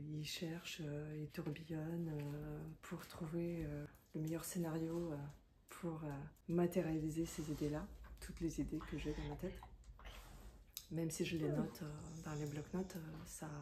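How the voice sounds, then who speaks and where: A woman's voice: low-pitched, dark tone, a little hiss or hum. A woman in her thirties or forties, a self-employed content creator, speaking French as a second language in a reflective, confiding monologue with many hesitations. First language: not French. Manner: hesitant, many fillers and restarts; thoughtful and confiding. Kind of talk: reflective talking-head monologue.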